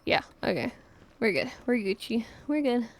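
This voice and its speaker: A woman's voice: on the high side, expressive, normal speed, a little hiss or hum. A woman live streamer chatting casually over her gameplay, thinking aloud in slangy, offhand remarks.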